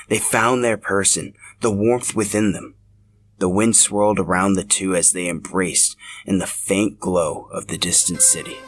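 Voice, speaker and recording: A man's voice: sits low, slight background noise. A man narrating a scripted story as a podcast host.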